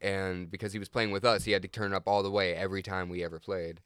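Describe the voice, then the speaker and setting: A man's voice: low-pitched, little pitch movement, fast, a bit bright, clear, resonant tone, dry recording. A man hosting in casual podcast banter, informal and enthusiastic, recorded dry and close.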